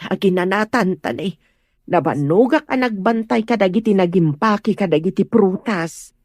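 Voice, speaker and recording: A woman's voice: medium pitch, expressive, normal speed, a little mellow, no room sound, studio quality, lightly acted. A woman playing a caring, fretting woman who pleads and scolds, in an Ilocano audio drama. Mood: concerned.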